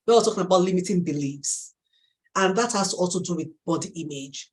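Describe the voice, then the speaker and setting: A woman with a Nigerian accent hosting a live interview, affirming her guest warmly and laughing often. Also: fairly low pitch, a little fast. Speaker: host.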